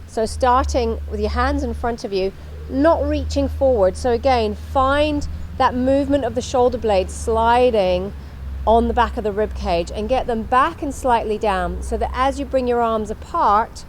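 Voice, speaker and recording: Higher-pitched woman teaching exercise, noisy background. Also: measured pace. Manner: instructional, repeats cues. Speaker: osteopath and exercise instructor. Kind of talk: spoken exercise demonstration.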